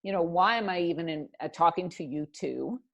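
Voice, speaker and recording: A woman's voice: lowish voice, fairly quick, vocal fry. A middle-aged woman, speaking reflectively as a guest in a conversational interview. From Canada. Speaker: interview guest, executive coach.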